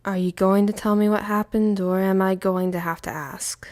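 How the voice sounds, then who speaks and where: A woman voicing a weary, stern but gentle father who speaks softly and tersely in an audio story. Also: steady tone, moderate pace, lightly acted. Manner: low-key, soft, terse.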